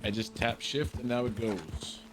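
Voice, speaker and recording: A man's voice: medium pitch, unhurried, noisy background. A man giving casual, playful live game commentary, often talking to himself as he plays. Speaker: game streamer. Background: video game ambience, horse hoofbeats.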